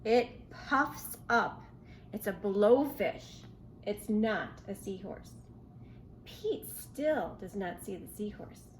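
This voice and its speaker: A woman reading a picture book aloud to young children in an expressive, questioning way. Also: medium pitch, takes it slowly, slight background noise, low-quality recording. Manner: expressive, asks the listener questions. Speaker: children's storybook reader.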